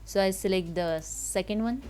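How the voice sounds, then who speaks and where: A woman giving a step-by-step software tutorial in halting, simple non-native English, with noise behind her. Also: medium pitch, smooth. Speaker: tutorial host. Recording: noticeable background noise.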